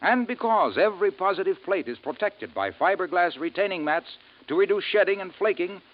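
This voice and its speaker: A male radio pitchman in a 1950s commercial style. His fairly high voice sweeps up and down with showy, punchy salesmanship, on a muffled old recording. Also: animated, a little fast.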